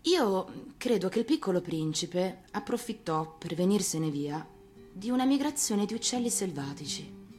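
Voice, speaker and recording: A woman's voice: fairly low pitch, darkish tone. A woman reading a fairy tale expressively, as an actress narrating a storybook for radio. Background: music.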